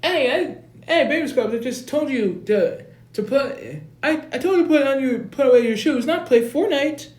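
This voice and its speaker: A man's voice: very high-pitched, expressive, moderate pace, darkish tone, pitched above the performer's own voice. A man voicing a bossy older brother who lectures and scolds, stumbling over his words. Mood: shouting. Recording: roomy echo.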